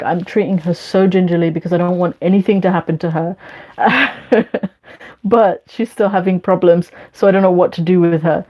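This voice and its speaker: A woman's voice: lowish voice, lo-fi sound. A young woman in her twenties chatting casually on a livestream, rambling with lots of ums and likes, whose first language is Bangla. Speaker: YouTuber answering live chat.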